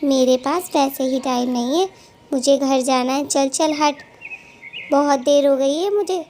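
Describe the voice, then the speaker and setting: A woman plays a hot-tempered female bird from an animated cartoon, quarrelsome and taunting. Her voice sits high and she scolds with heavy emphasis. Faint ambience runs behind her. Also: measured pace, a little hiss or hum. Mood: combative scolding.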